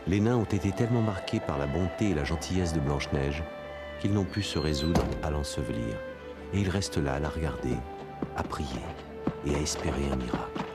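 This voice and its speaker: Sorrowful fairy-tale guide, extremely deep voice, grave, measured, loud music behind. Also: male voice, expressive. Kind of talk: dubbed fairy-tale cartoon acting.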